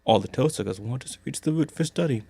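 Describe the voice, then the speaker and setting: A man voices a refined gentleman wizard for a cartoon spoof. He is smug and formally polite, with a mellow tone and some creak. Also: mid-range voice, animated, quick pace, no room sound. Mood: smoothly reassuring. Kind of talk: comedic anime parody dub.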